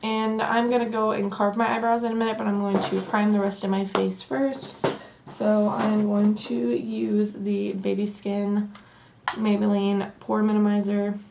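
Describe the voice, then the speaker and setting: A young woman with a Southern accent, hoarse from allergies, chatting casually through a makeup tutorial. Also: mid-range voice, measured pace, noisy background. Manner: chatty and relaxed, says "like" often. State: hoarse from allergies, losing her voice. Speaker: beauty vlogger. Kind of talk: casual get-ready-with-me tutorial.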